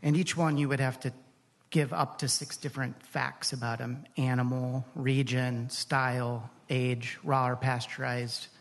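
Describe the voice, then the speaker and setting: A man's voice: mid-range voice, little pitch movement, measured pace, creaky. A man speaking as an interview guest, explaining things in a casual, informal, easygoing way. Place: large hall, live radio show. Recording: reverberant live hall.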